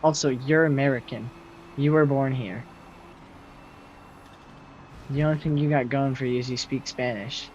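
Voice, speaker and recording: A young man in his late teens with a loud, projecting voice, rambling casually to his viewers while he games and answers their messages. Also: medium pitch, fairly even intonation, moderate pace, long pauses, a little hiss or hum. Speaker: streamer. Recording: slightly muffled, faint game music behind.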